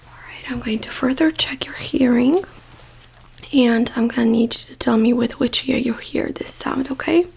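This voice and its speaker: Woman, high but mellow breathy voice, soft ASMR medical roleplay, gentle step-by-step instructions, close-miked. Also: normal speed. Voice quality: breathy whisper. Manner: gentle, reassuring, softly instructing. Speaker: ASMR performer playing a doctor.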